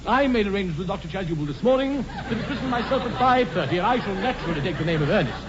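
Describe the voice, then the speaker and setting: An actor playing an exasperated, earnest young British gentleman with clipped indignation in 1940s radio comedy style. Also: high voice, lively intonation, racing, crowd noise. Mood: indignant. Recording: muffled, noisy 1940s radio broadcast.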